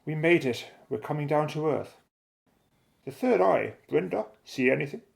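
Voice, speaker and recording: A man's voice: a little high, animated, moderate pace, creaky. An actor playing a bold, decisive male explorer in a radio drama, giving clipped, matter-of-fact orders. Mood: proud, reassuring.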